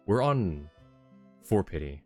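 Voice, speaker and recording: A man's voice: low voice, big pitch swings, slow, mellow. A man chatting casually on a game livestream, thinking aloud in a rambling, easygoing way. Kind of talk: casual livestream chat while playing a game. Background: background game music.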